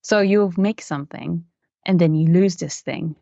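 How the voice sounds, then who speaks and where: Low-voiced woman, muffled audio. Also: measured pace, creaky, mellow, no room sound. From Japan. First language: Japanese. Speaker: interview guest, miniature artist. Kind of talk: casual interview chat.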